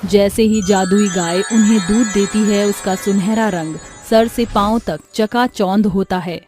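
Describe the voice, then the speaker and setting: A woman's voice: mid-range voice, steady tone, unhurried, music in the background. A woman giving scripted storytelling narration for a moral tale.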